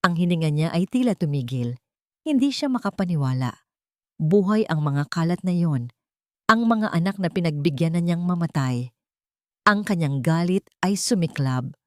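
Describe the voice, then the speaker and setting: A woman's voice: lowish voice, no echo, studio quality. A woman reading a scripted story as a dramatic audiobook narrator.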